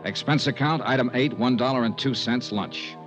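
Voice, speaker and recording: An actor playing a polite, wry hard-boiled insurance investigator who also narrates a 1950s radio drama, in a dry, courteous manner. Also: male voice, medium pitch, normal speed, music in the background, close to an everyday voice. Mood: dry, narrating. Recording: muffled 1950s radio recording.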